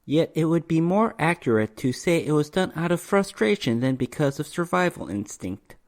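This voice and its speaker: A man's voice: fairly high pitch, lively intonation, moderate pace. A man narrating a fantasy horror short story as a dramatic reading, with theatrical, emphatic delivery.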